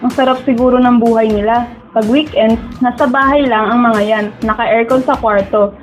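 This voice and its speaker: A young woman acting as a dreamy, self-doubting high school girl who narrates her own story in a radio drama, mixing in English. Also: steady tone, normal speed, faint music behind, natural voice. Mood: wistful, resigned. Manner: code-switches into English. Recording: tinny, phone-like audio with hiss.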